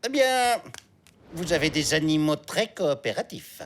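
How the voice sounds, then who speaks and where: Chatty low-pitched cartoon vet. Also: female voice, animated, unhurried, a little hiss or hum. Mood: brisk, cheerful. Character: chatty, upbeat cartoon veterinarian. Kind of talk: French cartoon dubbing.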